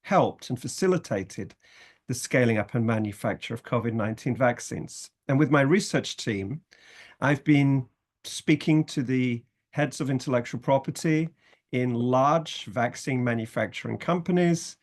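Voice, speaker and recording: British guy, law professor giving a programme talk, middle-aged, measured with some ums. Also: medium pitch. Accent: British English. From Britain, family from Scotland, raised in England. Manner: speaks in measured phrases with fillers. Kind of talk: presenting a university programme.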